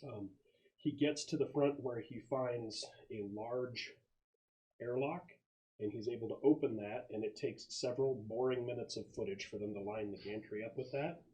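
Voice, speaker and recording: A man working as game master, describing scenes and answering questions in a halting, explanatory way during a tabletop game. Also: medium pitch, steady tone, warm tone, low-quality recording.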